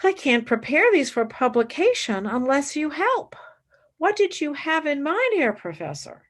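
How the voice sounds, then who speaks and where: A woman's voice: high voice, big pitch swings, unhurried. An actress playing a fervent young female philosophy student in a radio play, dramatic and intensely devoted. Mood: frustrated. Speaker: actor.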